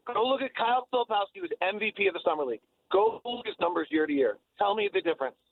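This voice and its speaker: High-pitched, gravelly man talking sports on radio. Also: big pitch swings, racing, narrow, tinny audio. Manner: emphatic, opinionated, repeats phrases for emphasis. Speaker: basketball analyst and guest. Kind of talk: opinionated sports talk radio conversation. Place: radio talk show.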